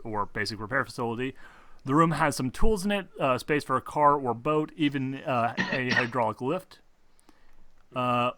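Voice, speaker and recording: A man's voice: mid-range voice, a bit bright, dry recording. A man acting as game master, explaining tabletop game rules to his players in a bossy way.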